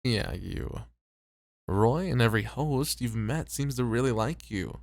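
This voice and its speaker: A man's voice: medium pitch, expressive, measured pace, vocal fry, dry recording, studio quality. A man playing a weary, self-doubting club host in an ASMR roleplay, with a hesitant, understated delivery. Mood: teasing.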